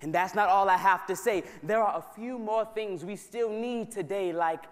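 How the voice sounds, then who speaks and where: An American man performing spoken word poetry on a stage microphone at a live church concert, with a rhythmic, rhyming delivery and emphatic slow repetitions. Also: high-pitched. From United States. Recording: long hall reverb from a large church.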